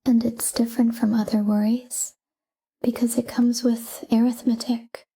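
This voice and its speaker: Breathy, whispering woman guiding sleep meditation. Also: fairly high pitch. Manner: calm, unhurried, short phrases with pauses. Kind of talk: soft guided sleep meditation, whispered talk-down.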